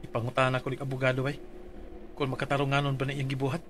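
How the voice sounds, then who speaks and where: A man's voice: mid-range voice, completely flat delivery, racing, a bit bright, slight background noise. A man reading out his dramatized complaint letter for a radio advice show, earnest and pleading.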